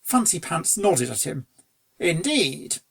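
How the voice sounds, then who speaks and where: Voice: medium pitch, lively intonation, measured pace, gravelly. A narrator reading a story aloud in a scripted storybook style. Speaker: fan fiction reader.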